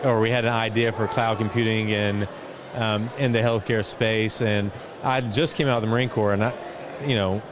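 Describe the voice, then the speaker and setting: A man's voice: lowish voice, steady tone, moderate pace. A middle-aged man speaking as an interview guest in a reflective, conversational way. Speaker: guest, entrepreneur and foundation chairman. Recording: noisy outdoor event, street and vehicle ambience.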